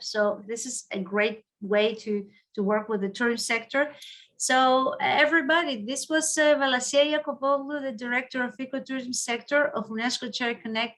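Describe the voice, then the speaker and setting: A woman academic moderating a panel in a formal, halting way. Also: on the high side, a bit bright.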